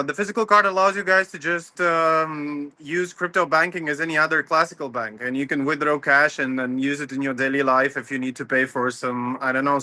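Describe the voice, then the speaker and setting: A man's voice: fairly high pitch, bright, forceful tone, dry recording. A man, a company founder explaining his product in an upbeat casual interview over a video call. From Latvia. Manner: upbeat, explanatory, slightly non-native phrasing. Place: home, early morning.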